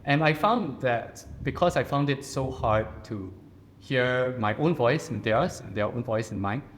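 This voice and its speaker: Singaporean man, mid-pitched, measured and earnest, panel storytelling. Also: slight background noise. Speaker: poet on a panel. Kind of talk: personal reflective talk. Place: reception room at a residence.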